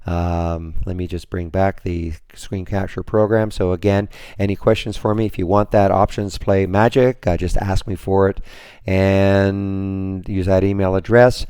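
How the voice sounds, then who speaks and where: A man with a lowish, hoarse voice recaps his trades for a trading room, wandering and restarting as he talks. The sound is dry and close, like a desktop computer mic in a home office. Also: flat delivery, measured pace, mellow, dry recording. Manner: rambling, many restarts and fillers. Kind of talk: screen-share trading recap.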